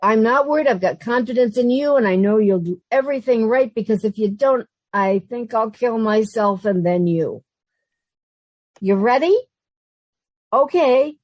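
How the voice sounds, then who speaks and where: A woman's voice: a little high, a little slow, a little mellow, no echo, dull audio. A woman playing an anxious, sardonic young roommate who also narrates, in an old-time radio sitcom style. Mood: frantic. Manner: wry, long-suffering, nervous.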